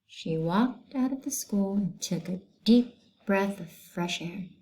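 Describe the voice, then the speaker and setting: A woman's voice: medium pitch, animated, slow, a little mellow, poor audio. A woman reading a children's book aloud as a storyteller, narrating expressively with dramatic emphasis.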